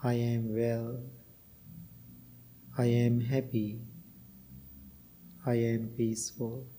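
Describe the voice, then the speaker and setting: Middle-aged male Buddhist monk from Sri Lanka, unhurried, gentle, pausing often, even-toned, long reverb. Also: medium pitch, slight background noise. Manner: slow, gentle, with frequent pauses and fillers. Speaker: Buddhist monk and meditation teacher. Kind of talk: guided meditation and dharma talk.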